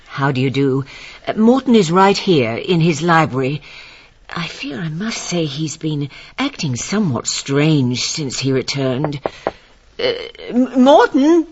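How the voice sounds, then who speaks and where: An actress playing a professor's anxious, apologetic and genteel wife in an old-time radio mystery. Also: female voice, low voice, sing-song, a little slow, darkish tone, husky, full character voice. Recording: muffled radio drama recording.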